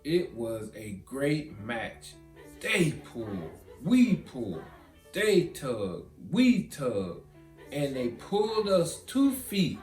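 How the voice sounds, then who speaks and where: A man's voice: mid-range voice, huge pitch swings, drawn-out words, darkish tone, exaggerated voice. A man voicing a proud, boastful big sister who recounts field day in a storybook. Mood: proud, excited. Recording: roomy echo, guitar music behind.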